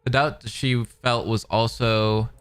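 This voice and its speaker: A man's voice: medium pitch, level tone, slow pace, clean tone. A man hosting a reaction video, reading visual novel narration aloud with casual, slangy commentary. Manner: informal, slangy asides.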